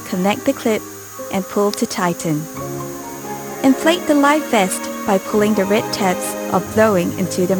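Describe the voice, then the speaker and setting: A woman giving a scripted safety briefing in a clear, calm instructional way, recorded as a studio voice-over. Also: medium pitch. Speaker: safety video narrator. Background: music bed.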